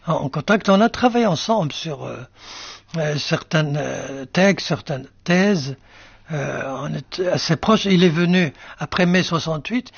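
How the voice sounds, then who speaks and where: An older male writer whose first language is Arabic, musing slowly in an interview with many fillers and restarts. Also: a little high, creaky, breathy edge, no room sound, lo-fi sound. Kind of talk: reflective interview answers.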